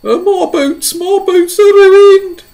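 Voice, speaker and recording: A man voicing a frantic, boastful male centipede with a shrill, strained squeal in a storybook reading. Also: takes it slowly, a little mellow, full character voice. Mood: panicky. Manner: whining, panicky complaints.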